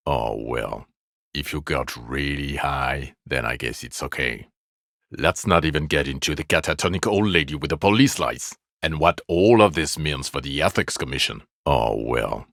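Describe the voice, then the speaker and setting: An actor voicing a bitter, exasperated police detective in a video game, with scornful, biting sarcasm. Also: male voice, a bass voice, creaky, pushed and bright, no room sound, studio quality, heavily acted.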